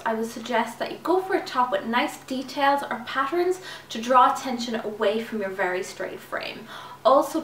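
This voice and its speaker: A woman's voice: a little high, fairly bright tone, roomy sound. Young woman, slight lisp, upbeat chatty tone, mic a bit far off, faint room hiss. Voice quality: slight lisp from new braces. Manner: chatty and upbeat, addressing viewers. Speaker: fashion vlogger. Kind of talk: casual styling tips to camera. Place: small indoor room with clothes rail.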